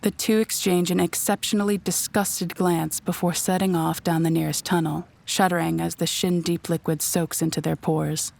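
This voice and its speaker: A woman's voice: fairly low pitch, flat delivery, moderate pace, gravelly, hardly any pauses, darkish tone. A woman narrating a scripted audio drama in a descriptive storytelling manner.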